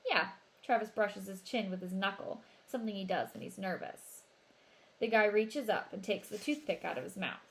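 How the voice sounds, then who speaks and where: Woman narrator, middle-pitched voice, expressive read-aloud, storybook storytelling. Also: normal speed, creaky.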